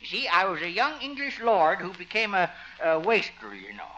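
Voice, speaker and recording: A high-voiced, fussy small-town vet. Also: male voice, huge pitch swings, normal speed, pushed and bright, exaggerated voice. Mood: chatty, eager. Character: folksy, doting small-town veterinarian, fussy and jolly. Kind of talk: 1950s radio comedy acting. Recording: muffled vintage radio recording.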